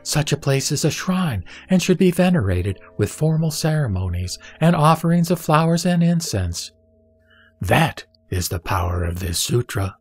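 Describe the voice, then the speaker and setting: Guy playing a solemn serene holy teacher reciting scripture in measured phrases, music behind. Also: fairly high pitch, big pitch swings, slightly rough. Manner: measured, deliberate, preaching in short phrases. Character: the Buddha, serene solemn male teacher instructing a disciple. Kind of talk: scripted sutra recitation, audio drama.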